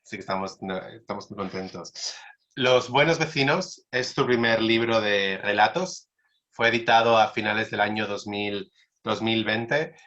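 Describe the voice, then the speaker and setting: A man's voice: lowish voice, fairly even intonation, moderate pace, sharp tone. A man hosting an interview, reading a formal introduction with stumbles and corrections. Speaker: interviewer and host. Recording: dull lo-fi sound.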